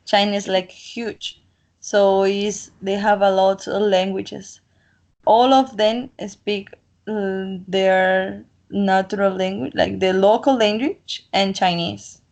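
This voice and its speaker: A woman's voice: medium pitch, steady tone, takes it slowly, a little mellow. A woman from the Colombian coast, a native Spanish speaker, chatting in English with a Colombian accent, talkative and self-assured. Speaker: interviewee. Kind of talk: casual chat.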